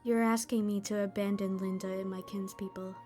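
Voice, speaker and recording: A woman's voice: mid-range voice, level tone, fairly quick, dark tone, close to an everyday voice. A woman playing a proud young slave warrior in a comic dub, speaking solemnly, formally and bluntly over music. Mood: resolute. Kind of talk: dramatized fan comic dub.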